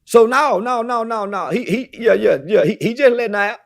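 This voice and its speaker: A man's voice: high-pitched, fairly quick, gravelly. A man talking brashly as a podcast guest, boastful and preachy, swearing constantly.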